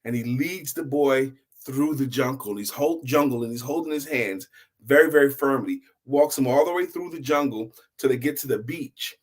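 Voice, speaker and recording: A man hosting a story show, telling a motivational tale in a deliberate, emphatic way with repeated phrases. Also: mid-range voice. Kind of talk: motivational oral storytelling to online viewers.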